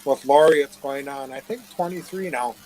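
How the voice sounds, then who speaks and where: A man's voice: medium pitch, moderate pace, crowd noise, rough recording. A man answering questions in a casual live chat, rambling and plain-spoken. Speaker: interview guest.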